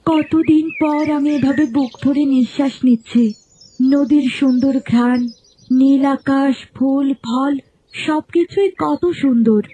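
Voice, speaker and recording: A woman's voice: high-pitched, fairly even intonation, measured pace, dark tone, muffled recording, a little hiss or hum. A woman playing a devoted, heartbroken young woman in a melodramatic cartoon, with a plaintive, dramatic delivery. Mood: wistful. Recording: faint music under the voice.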